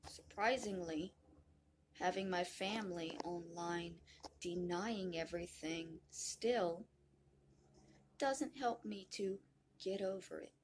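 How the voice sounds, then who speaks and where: A woman's voice: lowish voice, takes it slowly, slight background noise. A woman in her thirties speaking earnestly to camera in a personal video, complaining. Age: 30s. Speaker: video creator.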